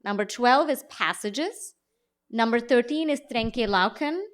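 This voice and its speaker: A woman's voice: fairly high pitch, animated, measured pace. A woman co-hosting a live panel, presenting to an audience in a conversational way. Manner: speaks to an audience. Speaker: co-host and magazine editor. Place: live event on stage before an audience.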